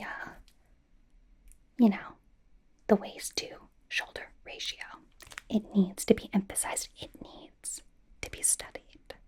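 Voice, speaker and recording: Casting: woman as bubbly young female K-pop idol, slow breathy whisper, gushing personal stylist for ASMR roleplay. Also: medium pitch. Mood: reassuring, eager. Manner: gushing, reassuring, chatty with trailing repeats.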